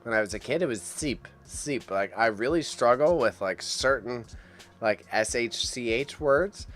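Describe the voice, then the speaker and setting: A man hosting a casual auction livestream, chatty and excitable as he rambles to his chat, with a mild impediment on sh and ch sounds. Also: mid-range voice, a little slow, faint music behind. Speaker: livestream host reacting to an online auction. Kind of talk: casual live stream commentary.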